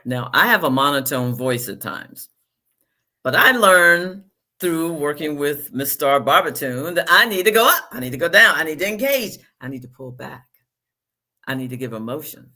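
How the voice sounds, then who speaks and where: A woman's voice: low voice, expressive, normal speed, pushed and bright. A woman hosting a podcast interview. She chats casually and tells self-deprecating stories about herself. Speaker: podcast host.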